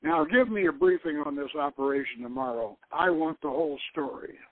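A man's voice: on the high side, brisk, no room sound, phone-quality sound, pitched below the performer's own voice, lightly acted. A man voicing a wry, blunt, playfully grumbling male adventurer in a novel reading.

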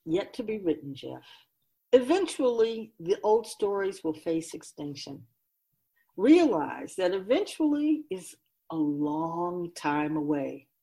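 A woman playing an otherworldly feminine spirit in a radio drama, speaking in a deliberate, emphatic, oratorical way, grave and candid. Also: lowish voice, dark tone. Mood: wry, knowing. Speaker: spirit guide being interviewed. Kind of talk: radio drama monologue, reflective.

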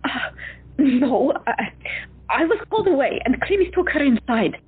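A woman's voice: sits high, sing-song, moderate pace, husky, heavily acted. A woman voicing a weary, short-tempered woman doctor who answers curtly, on a lo-fi recording with music. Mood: defensive. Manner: exasperated, curt. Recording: lo-fi, phone-like, with music.

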